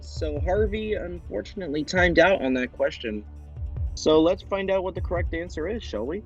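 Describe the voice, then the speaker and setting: A man's voice: sits high, expressive, moderate pace, muffled recording. A man hosting a quiz show, addressing contestants directly in a presenter's delivery. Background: background music.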